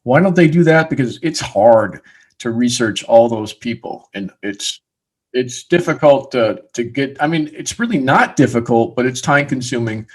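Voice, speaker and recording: A man hosting a business podcast, chatting with a guest. His voice is slightly husky. His questions wander and he often starts them over. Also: mid-range voice, animated, moderate pace. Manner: rambling, restarts questions. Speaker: podcast host. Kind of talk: casual interview.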